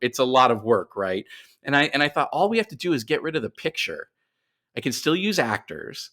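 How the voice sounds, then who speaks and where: A man's voice: medium pitch, sing-song, a little fast, a bit bright. A middle-aged man speaking as a podcast guest, telling his story conversationally and often doubling back on himself as he explains.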